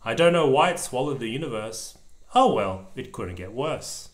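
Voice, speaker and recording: Male parent, medium pitch, storybook read-aloud for a young child with simple asides. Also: animated, moderate pace. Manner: simple explanations and pointing out pictures for a small child. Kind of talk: read-aloud of a children's picture book, with short explanatory asides. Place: indoors at a table over the open book.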